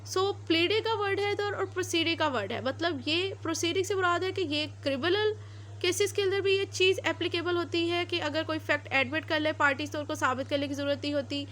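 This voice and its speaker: A woman with a Pakistani accent and a very high voice gives an explanatory lecture. She talks informally, goes back over her points and mixes in English terms. There is faint noise behind her. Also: fairly bright tone. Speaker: law lecturer. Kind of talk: explanatory lecture with everyday examples.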